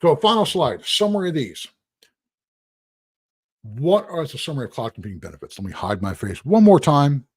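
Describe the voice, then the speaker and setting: A husky man, losing his voice, teaching a casual live-streamed lecture in a rambling, conversational way with asides. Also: on the high side, big pitch swings, moderate pace, long pauses, dry recording, studio quality. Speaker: instructor.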